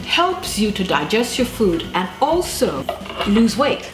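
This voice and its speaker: A woman's voice: medium pitch, big pitch swings, slow. A woman of about fifty hosting a casual cooking demonstration, chatty and emphatic, tossing questions at the listener. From Jamaica. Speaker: cooking show host. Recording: roomy echo, loud music behind. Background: music, clattering pots, stirring.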